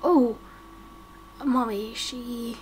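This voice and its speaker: A woman voicing a gentle, hesitant, protective young father in an amateur game roleplay. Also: on the high side, steady tone, very slow, slight background noise.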